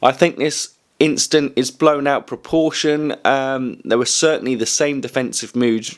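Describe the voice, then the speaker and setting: A man hosting a weekly motorsport news show, croaky from a cold, rambling casually with lots of ums and restarts. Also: mid-range voice, steady tone, normal speed. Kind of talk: casual news commentary to camera.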